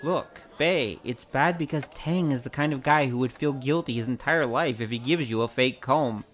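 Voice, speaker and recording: A woman's voice: extremely low pitch, moderate pace, slight background noise. A woman acting in an audio drama as a wry, streetwise investigator with a cocky, teasing, casual manner. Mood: shrewd, brisk.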